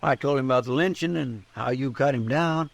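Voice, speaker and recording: An actor playing a loyal frontier deputy sidekick with an American accent and folksy, ungrammatical talk in a radio western. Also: male voice, medium pitch, fairly quick, vocal fry, no room sound, natural voice. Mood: helpful, matter-of-fact. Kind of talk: old time radio western acting.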